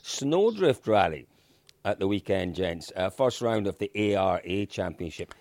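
Lively, gravelly low-voiced Scottish man. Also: a little slow, no room sound, studio quality. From Dundee, Scotland. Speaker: podcast host. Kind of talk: casual chat, hosting a motorsport podcast.

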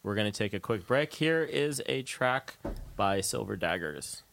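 A man's voice: on the low side, animated, a little slow, vocal fry, fairly bright tone, slight background noise. A man hosting a casual radio interview, chiming in with short questions and echoed phrases. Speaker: radio show host and interviewer.

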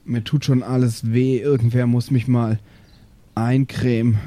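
A man's voice: medium pitch, flat delivery, unhurried, mellow, a little hiss or hum, close to an everyday voice. A man voicing a sluggish, self-pitying petrol station attendant who rambles hesitantly in an improvised audio play.

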